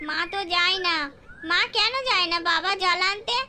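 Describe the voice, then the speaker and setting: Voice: extremely high pitch, moderate pace, sharp tone, exaggerated voice. A performer voicing a curious, stubborn young village boy in a cartoon, asking insistent questions over a noisy recording. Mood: questioning. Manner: inquisitive, insistent. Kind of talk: cartoon horror story dialogue.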